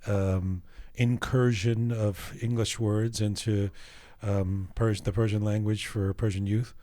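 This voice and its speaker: Guy moderating a panel, lowish voice, stops and starts a lot asking questions. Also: flat delivery, slow pace, mellow. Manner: hesitant, restarts while framing questions. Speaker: host. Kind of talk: moderating a roundtable.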